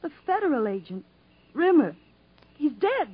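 A woman's voice: high voice, very animated, a little slow, no room sound. An actress playing a devoted, earnest sweetheart of a man on the run in a 1950s radio drama. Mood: urgent. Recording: dull, muffled old radio recording.

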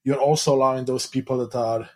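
A man's voice: medium pitch, steady tone, fast, a little mellow. A man from Romania hosting a podcast interview, reflective and thinking aloud in long searching sentences. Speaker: podcast host. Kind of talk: casual interview chat.

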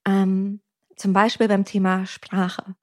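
A woman's voice: medium pitch, monotone, slow. Reflective woman explaining with examples. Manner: reflective, explains with everyday examples and frequent ums. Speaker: couples therapist. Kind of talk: podcast conversation.

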